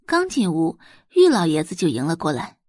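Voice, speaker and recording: A woman reading a novel as an audiobook narrator, with expressive scripted delivery. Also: deep voice, normal speed, no room sound.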